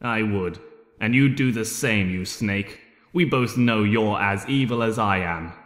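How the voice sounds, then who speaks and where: A man's voice: lowish voice, measured pace, fairly bright tone, voice pushed down. A man voicing a nasty, scheming male centaur villain in an amateur story reading. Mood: defiant. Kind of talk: amateur fan fiction audiobook character voice. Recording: echoey room with long reverb.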